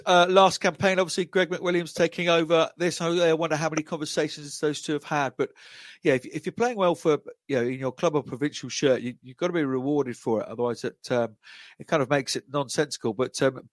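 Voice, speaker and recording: A man's voice: a little high, no echo. Chatty man with a dry, close sound. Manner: halting, repeats words often. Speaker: podcast host. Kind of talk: casual interview chat.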